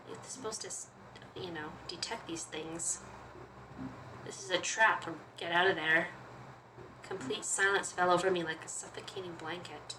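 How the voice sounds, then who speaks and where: A woman's voice: fairly low pitch, sharp tone. A woman hosting a gaming video, reading visual novel narration aloud and adding casual, playful asides. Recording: slightly distant mic, faint noise.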